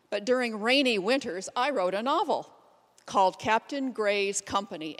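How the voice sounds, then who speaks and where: A woman's voice: mid-range voice, a bit bright. Lady acting as plainspoken frontier pioneer woman telling her life story, stagey monologue, big echoey hall. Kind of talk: readers theater monologue. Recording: echoey hall, long reverb.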